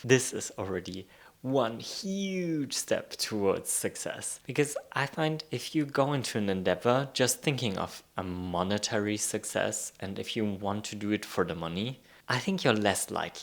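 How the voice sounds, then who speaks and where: A man's voice: lowish voice, creaky. A man from Poland who grew up in Germany, talking earnestly to the camera and encouraging viewers, sometimes dropping to a whisper. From Poland, raised in Germany. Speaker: vlogger and B and B owner. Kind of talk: reflective vlog talk to camera.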